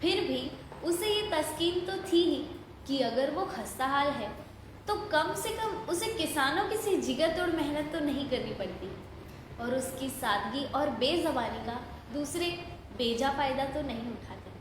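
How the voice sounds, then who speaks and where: High-voiced woman narrating in echoey hall. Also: expressive, a little slow. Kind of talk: scripted literary story narration. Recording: very echoey hall, faint noise.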